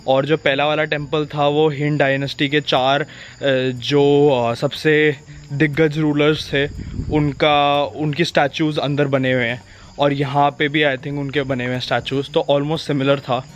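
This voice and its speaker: A man's voice: fairly high pitch, little pitch movement, measured pace, a little hiss or hum. A young man from India in his twenties, chatting candidly in a casual travel vlog, thinking aloud with hesitations, filmed on a handheld camera outdoors. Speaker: travel vlogger. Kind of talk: casual travel vlog, Hindi-English mix. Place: outdoors on a boat and paths among hills. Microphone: handheld camera at arm's length. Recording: background music over outdoor sound.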